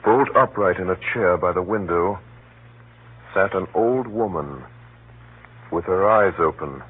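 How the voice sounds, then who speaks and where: A man acting a grave gentleman who narrates a spy plot in an urgent, deliberate, dramatic 1930s radio drama style. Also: sits low, husky. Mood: tense narration. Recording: muffled, noisy 1930s radio recording. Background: faint hiss and noise.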